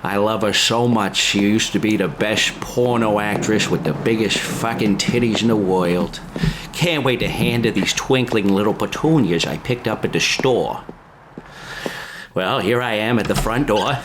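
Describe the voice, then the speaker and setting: A man's voice: on the low side, natural voice. A man improvising an overwrought, lovesick, betrayed husband in a comic old-time radio play. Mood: smitten, dreamy. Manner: overwrought, drawn-out delivery. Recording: echoey, very loud crowd noise behind.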